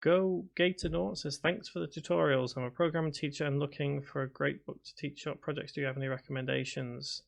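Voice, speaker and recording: A man's voice: fairly high pitch, steady tone, a little fast, vocal fry, few pauses. A man from Liverpool with a faded Scouse accent, hosting a casual livestream as a game development tutor, chatting with viewers and sometimes mumbling to himself. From Liverpool area, England. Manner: mumbles to himself while working. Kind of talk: casual livestream chat, answering viewer comments.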